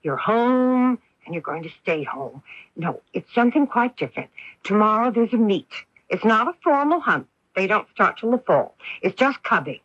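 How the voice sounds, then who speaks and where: An actress playing a protective, gentle middle-aged mother in a radio drama, speaking with careful reassurance. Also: mid-range voice, very animated, normal speed, dry recording. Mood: reassuring. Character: protective, gentle mother of a troubled grown son. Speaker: narrator and lead character. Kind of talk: radio drama acting with first-person narration. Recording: muffled, dry AM radio tape.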